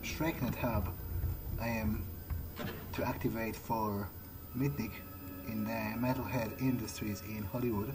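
A man's voice: fairly low pitch, steady tone, unhurried, natural voice, low-quality recording. A man voicing a thoughtful, cautious vampire who thinks aloud in a hesitant, understated way during a game playthrough. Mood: musing. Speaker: roleplaying video game player. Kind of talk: in-character improvised game playthrough. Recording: game music behind the voice.

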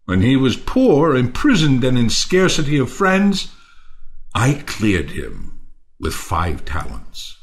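A man playing a proud, generous, naively trusting Athenian lord in a Shakespeare audio play, declaiming theatrically with sudden hushed drops. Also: mid-range voice, full character voice.

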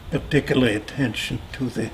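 An older man from Austria, a sculptor answering interview questions in a slow, deliberate way with pauses and restarts, seated indoors. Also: mid-range voice, a little hiss or hum, low-quality recording. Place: indoor studio room.

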